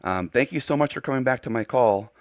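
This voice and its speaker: A man's voice: mid-range voice, a little fast, dry recording, muffled recording. An American man working as an amateur radio operator, speaking courteously in a casual on-air radio style. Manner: courteous, spells with phonetic alphabet.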